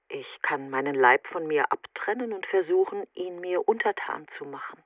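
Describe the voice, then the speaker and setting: An actress playing a grieving, fearful woman in a literary radio drama monologue, speaking in halting, deliberate fragments with a breathy, often nearly whispered voice. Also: low-pitched, no echo, exaggerated voice. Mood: searching, somber. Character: grieving, fearful, brooding woman mourning her best friend.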